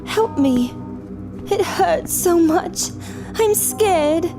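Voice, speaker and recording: A high, beseeching possessed sister. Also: female voice, lively intonation, music in the background, full character voice. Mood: frightened, pleading. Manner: drawn-out, beseeching. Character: possessed young sister, luring and pitifully pleading. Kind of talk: audio drama acting.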